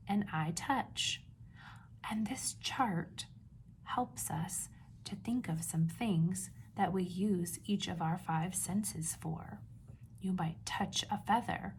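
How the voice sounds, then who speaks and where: Slow-paced woman reading aloud to young children. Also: medium pitch, slight background noise. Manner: instructive, asks simple questions and pauses before confirming answers. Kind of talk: children's read-aloud with questions to the listener.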